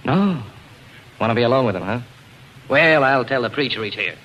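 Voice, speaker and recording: A man's voice: mid-range voice, lively intonation, normal speed, a bit bright, highs cut off, natural voice. An actor playing a jealous, scheming show-business man in a 1940s radio drama. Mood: brisk. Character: jealous, scheming male show-business friend hiding disappointment. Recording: hissy 1940s radio broadcast.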